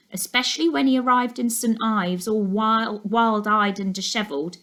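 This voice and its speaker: A woman's voice: on the high side, a bit bright. A woman voicing a gossipy, opinionated neighbour in a storybook, confiding and emphatic. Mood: gossipy, admiring. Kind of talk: storybook character monologue.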